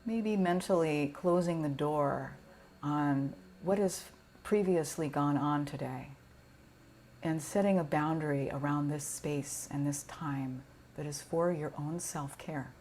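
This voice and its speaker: A woman teaching yoga nidra, guiding a relaxation meditation in a gentle, soothing, unhurried way with pauses. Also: sits low, a little hiss or hum.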